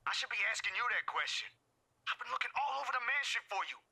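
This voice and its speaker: An actor playing an easygoing, lightly sarcastic young friend talking through a telephone filter in a video game. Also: male voice, very high voice, animated, quick pace, gravelly, bright, forceful tone, husky, full character voice. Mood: casual, puzzled.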